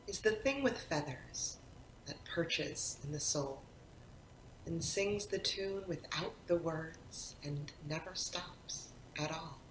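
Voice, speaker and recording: A woman reciting poetry expressively, in a deliberate manner with dramatic pauses. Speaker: poetry reader, actor. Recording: roomy echo, faint rumbling background noise.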